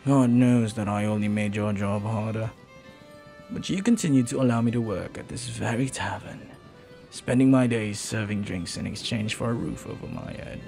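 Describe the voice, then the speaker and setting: A man playing a flirty, silver-tongued pirate in a romantic audio roleplay, tender and teasing, sometimes dropping to a breathy murmur. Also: fairly low pitch, moderate pace, darkish tone, soft background music. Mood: fond, teasing. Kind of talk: romantic audio roleplay acting, intimate second-person monologue.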